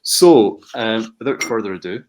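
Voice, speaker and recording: A man's voice: fairly low pitch, lively intonation, normal speed, darkish tone. A man speaking as a company director presenting a product, in a conversational, hesitant way with repeated words.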